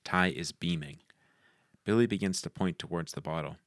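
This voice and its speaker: A man's voice: sits low, normal speed, dry recording, clean studio sound. A man reading scene headings and stage directions as narrator at a script table read.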